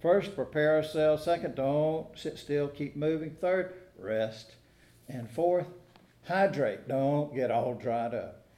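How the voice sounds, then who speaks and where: American guy giving a folksy sermon, kinda high soft-edged mellow voice, lots of room echo. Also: takes it slowly. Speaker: preacher. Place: church sanctuary during a service. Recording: echoey room sound.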